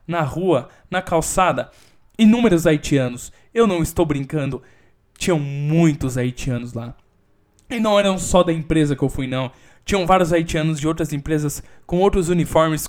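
Young man, Brazilian Portuguese accent, slightly high voice, casual podcast at home, swears a lot, animated loud outbursts. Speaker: podcast host. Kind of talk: casual solo podcast monologue.

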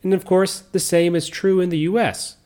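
A middle-aged man with a mixed American accent and faint Southern roots, hosting a podcast in slow, clear teaching talk for learners. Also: high-pitched. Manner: calm, explains step by step, repeats key points. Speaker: podcast host.

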